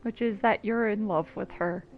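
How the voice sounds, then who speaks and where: A woman's voice: mid-range voice, moderate pace, narrow, tinny audio. A woman giving casual, playful and informal reactive commentary while playing a video game. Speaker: gamer commentating on a playthrough. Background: game music.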